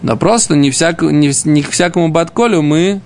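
A man with a fairly high voice and heavy creak leads an informal Talmud class. He reads out phrases and explains them, often repeating himself as he searches for the next words. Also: moderate pace, slight background noise. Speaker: Talmud teacher. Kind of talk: informal Talmud lesson, reading and explaining text.

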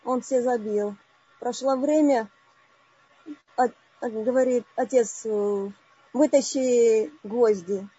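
A woman in her forties calling in to a live online session, telling a personal story haltingly with many broken-off words. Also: fairly high pitch, warm tone, slightly rough, muffled recording. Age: 40s. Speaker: caller.

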